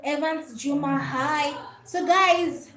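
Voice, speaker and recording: High-pitched Kenyan woman chatting in an echoey room. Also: slow pace, fairly bright tone. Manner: friendly, mixes English and Swahili, often thanks and greets viewers. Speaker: livestream host. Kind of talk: casual livestream chat. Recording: echoey room with faint background noise.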